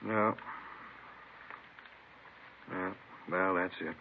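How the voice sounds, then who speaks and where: An actor playing an unhurried, wry police lab technician who talks tersely in an old radio drama. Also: male voice, sits low, fairly even intonation, heavily acted. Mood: wry, resigned. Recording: narrow-band old radio, faint noise.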